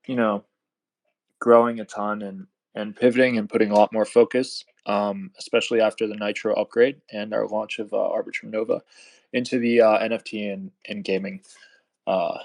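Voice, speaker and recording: Male guest representative, slightly low pitch, casual explainer delivery with halting phrasing.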